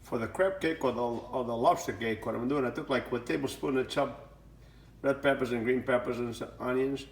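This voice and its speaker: A man's voice: mid-range voice, fairly even intonation, a little fast, a little hiss or hum. A middle-aged man from Portugal, a chef giving a rambling home cooking demonstration full of fillers and restarts.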